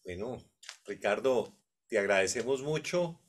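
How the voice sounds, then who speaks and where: A man's voice: mid-range voice, lively intonation, a little slow. A Colombian man hosting a conference, thanking the speaker in a courteous, effusive way. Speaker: conference host. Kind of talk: formal thanks and praise.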